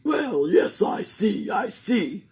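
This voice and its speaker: A woman voicing a fussy, booming male doctor in a read-aloud storybook, loud and emphatic as he rattles off symptoms. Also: big pitch swings, takes it slowly, gravelly, husky, full character voice.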